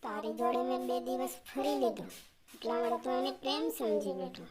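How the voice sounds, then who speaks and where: A snobbish, wealthy young woman, played by a voice actress in a cartoon tale. Her voice sits fairly high and carries open contempt for the poor. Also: a little fast, a crowd in the background, close to an everyday voice. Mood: scornful. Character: haughty rich young woman scorning a poor suitor. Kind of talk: cartoon story dialogue.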